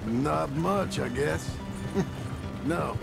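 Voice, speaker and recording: An actor playing a gruff, wary Old West rancher in a video game, with a terse, sceptical American drawl. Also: male voice, on the high side, drawn-out words, loud music behind the voice, heavily acted. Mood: dry reserve. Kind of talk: cinematic video game dialogue.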